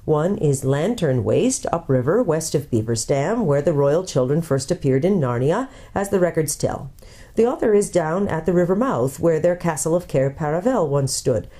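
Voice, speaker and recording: A woman's voice: low voice, lively intonation, mellow. A woman voicing a learned old male doctor who speaks in a careful, scholarly way, in a storybook reading. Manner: measured, scholarly.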